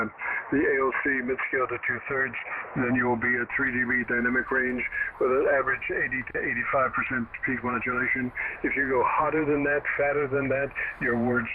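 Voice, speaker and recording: Through loud radio noise and a thin, narrow band comes a man with a medium-pitched voice. He is a ham operator, explaining technical matters in a folksy, casual way. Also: flat delivery, a little fast. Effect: radio transmission. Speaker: amateur radio operator giving audio advice. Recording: narrow, very noisy shortwave radio signal.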